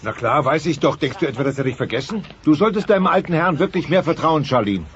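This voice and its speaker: An actor playing a blustering, forgetful middle-aged dinosaur father in a dubbed cartoon, with a gravelly voice. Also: on the high side, animated, fast, natural voice. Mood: flustered bluffing. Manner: blustering, evasive. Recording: muffled cassette transfer with background din.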